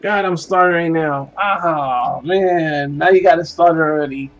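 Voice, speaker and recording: A man's voice: on the high side, unhurried, fairly bright tone, muffled recording. A man, chatting casually and cursing while streaming a game. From Puerto Rico. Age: 30s. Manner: laid-back, profane, laughing easily. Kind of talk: casual chat while gaming. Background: faint game music.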